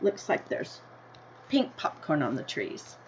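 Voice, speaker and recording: Slow-paced American woman reading aloud, muffled recording. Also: low voice, huge pitch swings. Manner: deliberate storybook reading, asking listeners questions and explaining simply. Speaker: teacher reading a picture book aloud to children. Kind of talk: read-aloud with simple explanatory asides. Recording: dull lo-fi sound with noticeable background noise.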